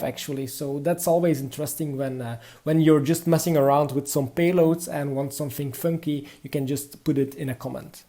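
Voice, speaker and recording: A young man with a Belgian accent delivers a prepared conference talk in a mellow, warm voice. His pitch sits somewhat high for a man. He explains step by step and often pauses on "uh" fillers. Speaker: conference speaker.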